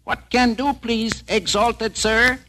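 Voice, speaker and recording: A timid musician answers in a thin, high, overly polite voice. His tone sounds pinched and unlike a natural speaking voice. He speaks in short broken phrases, eager to please, like a storybook character. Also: fairly even intonation, slow, pushed and bright, slight background noise, heavily acted. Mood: deferential. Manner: high deferential broken speech.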